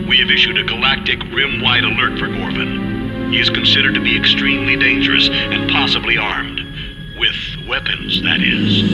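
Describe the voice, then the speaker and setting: A man's voice: mid-range voice, lightly acted, low-quality recording. A man playing a stern galactic officer, dictating a formal official log in a video game cutscene. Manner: formal, official, clipped report delivery.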